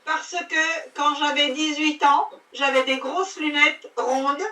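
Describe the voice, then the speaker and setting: A woman's voice: sits high, fairly even intonation, bright, forceful tone, reverberant, recorded from a distance. A woman from eastern France with an eastern French accent, drawling in casual chat on a video call. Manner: drawling, slow-spoken. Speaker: conversation group participant.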